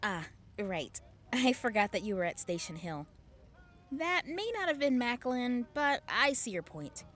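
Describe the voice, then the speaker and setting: A woman's voice: on the high side, very animated, measured pace, a bit bright, full character voice. A woman playing a confident, flirty army officer in an audio drama, teasing and reassuring. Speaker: lieutenant colonel.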